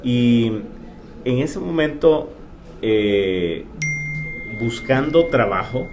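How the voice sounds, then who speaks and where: A man, an insurance agent speaking as a guest, earnest and hesitant with many pauses. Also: mid-range voice, animated. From Honduras. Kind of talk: casual interview. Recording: faint background noise.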